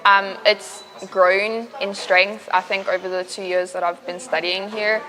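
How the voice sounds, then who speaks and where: A young woman from South Africa with a South African accent, a university student answering interview questions in a relaxed, chatty way with many fillers. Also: medium pitch, a little slow, crowd noise, rough recording. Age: young adult.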